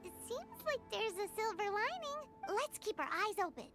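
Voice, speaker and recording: A tiny childlike fairy sidekick from a video game. Her voice is extremely high and squeaky, bouncing up and down with cute, anxious chirpiness. Music plays clearly behind her. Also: big pitch swings, moderate pace. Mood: wary, curious. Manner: squeaky, cute, refers to self in third person. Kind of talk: scripted video game voice acting.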